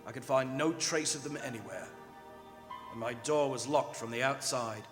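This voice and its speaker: A man playing a desperate young English gentleman prisoner, narrating his diary with dramatic dread in a radio drama. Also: mid-range voice, normal speed, close to an everyday voice. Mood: haunted dread. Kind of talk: old-time radio drama acting on stage. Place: performing arts center stage. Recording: echoing theatre hall, music behind.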